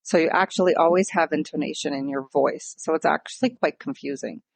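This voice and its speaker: A woman's voice: sits low, brisk, gravelly, husky, no echo. A woman co-hosting a casual podcast, explaining and clarifying points in conversation. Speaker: podcast co-host.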